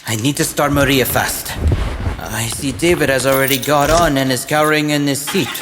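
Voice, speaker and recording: An actor playing a team mechanic who narrates an action adventure in the first person. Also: male voice, medium pitch, steady tone, normal speed, background noise, lightly acted. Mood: tense narrating. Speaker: character narrator. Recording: loud music bed. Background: loud music.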